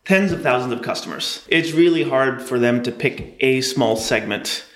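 A man with a mid-pitched, ordinary voice, speaking as a guest on an interview podcast. He explains things in a measured, steady manner, and his voice carries some echo from the room. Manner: measured, explanatory, light laughs. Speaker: startup co-founder, guest. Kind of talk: interview answers. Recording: echoey room.

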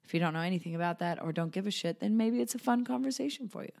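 A woman's voice: medium pitch, lively intonation, very fast, creaky, a little mellow. A woman hosting a podcast, chatting casually and bluntly with plenty of swearing. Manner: informal, blunt, expletive-laden. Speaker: podcast host.

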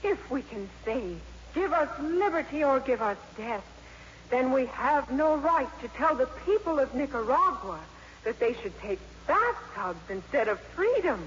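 Teenage schoolgirl, earnest and emphatic, very animated voice, dull noisy vintage radio recording. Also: high voice, a little slow. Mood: impassioned. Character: earnest, impassioned teenage high-school girl debater. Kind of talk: 1950s radio acting. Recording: dull, noisy old radio broadcast, hall reverb.

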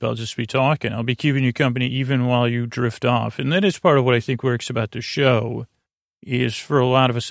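Guy doing a sleepy podcast intro, creaky voice, close dry sound, keeps wandering off and backtracking. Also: mid-range voice, dry recording. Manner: rambling, halting, frequent tangents and self-corrections; soothing, lulling delivery. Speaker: podcast host. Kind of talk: meandering sleep podcast monologue.

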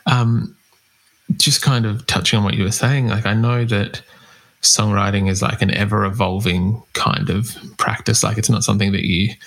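A man's voice: on the low side, steady tone, normal speed, creaky, fairly bright tone, rough recording. A man interviewing a musician in a casual chat, rambling and searching for his words.

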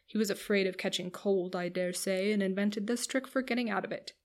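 A woman voicing a dashing young gentleman in an audiobook, confiding, dry and disdainful. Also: medium pitch, steady tone, a little fast, close to an everyday voice. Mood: scornful aside.

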